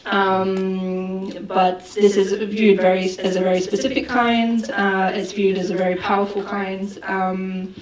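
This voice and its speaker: A woman's voice: mid-range voice, level tone, unhurried, few pauses. A woman filmmaker answering questions at a festival Q&A, thoughtful and full of fillers and restarts. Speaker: film director. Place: festival hall with audience. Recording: roomy hall, far from the mic, crowd noise.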